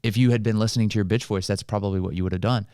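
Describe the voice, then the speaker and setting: A man's voice: fairly low pitch, steady tone, very fast, dark tone, clean tone, no room sound, clean studio sound. A man hosting a casual motivational conversation, explaining things in an encouraging, coaching way. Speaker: podcast host.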